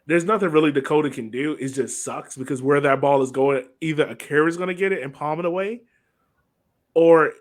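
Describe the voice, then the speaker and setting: A man's voice: on the high side, brisk. A man co-hosting a sports podcast, breaking down a soccer match in an informal way, thinking aloud as he goes.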